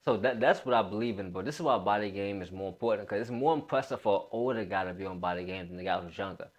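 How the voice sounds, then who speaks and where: A man's voice: lowish voice, fast, dull audio. A man in his late twenties giving a casual motivational talk as a fitness coach, emphatic, repeating phrases and using informal bro slang.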